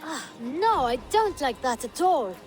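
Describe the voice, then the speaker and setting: A woman playing a gentle, earnest and apologetic young Viking child in a video game, sincere and a little hesitant. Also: high-pitched, big pitch swings, unhurried, soft background music. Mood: wistful.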